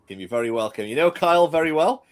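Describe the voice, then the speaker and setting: A man's voice: on the high side, expressive, fast talker. A man hosting a podcast, welcoming a guest with enthusiastic, punchy remarks. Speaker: podcast host.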